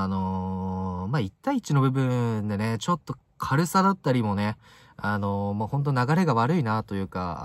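A man in his twenties giving casual match commentary to camera in short, halting phrases full of fillers, recorded inside a parked car. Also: fairly low pitch, dry recording. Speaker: football fan video host.

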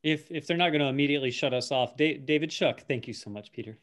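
A man moderating a panel over a video call talks quickly in a chatty way. He moves briskly from his own story to his next question. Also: on the high side, fairly bright tone.

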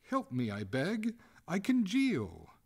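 A man's voice: on the low side, big pitch swings, normal speed, pitched above the performer's own voice. A man voicing a female water ghost, a haughty lady given to imploring, in a storybook reading. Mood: anguished pleading. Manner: formal, melodramatic, imploring.

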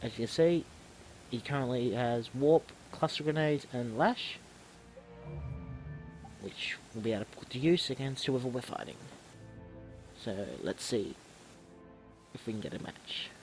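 A man with a stuffy, snuffly cold talks through his gameplay in an easygoing, rambling way. He keeps restarting words as he goes, at an average pitch, with faint music behind him. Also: expressive, measured pace, long pauses, low-quality recording. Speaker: gamer commentating his own match. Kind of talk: casual gameplay commentary.

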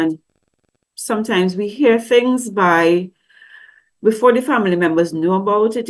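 A woman's voice: lowish voice, a little mellow. Middle-aged woman, counselor teaching over a webcam; conversational, lots of questions. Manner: conversational, asks many questions, acts out quoted voices. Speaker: grief counselor teaching at a church service. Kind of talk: teaching talk with slides. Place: indoor room. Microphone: webcam on a video call.

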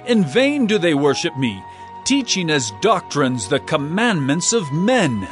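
Authoritative, reproving teacher for scripture drama: higher register, very animated, declamatory. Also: male voice, measured pace, faint music behind. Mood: stern reproach.

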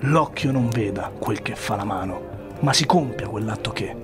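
An actor playing a tormented, ambitious noble warrior in an Italian radio drama, solemn and theatrical. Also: male voice, fairly low pitch, normal speed, over music, natural voice. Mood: grimly resolved.

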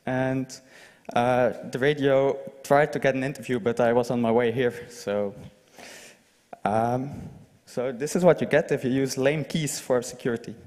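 A man's voice: medium pitch, a little slow, darkish tone. Young Flemish man in a reverberant hall. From Flanders, Belgium. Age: young adult. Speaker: conference speaker. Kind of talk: informal lightning talk to an audience. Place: large lecture hall, indoors. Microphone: handheld microphone.

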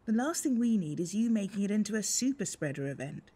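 A woman's voice: medium pitch, expressive, fast talker, mellow, husky. Firm, concerned, no-nonsense woman. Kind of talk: radio soap acting.

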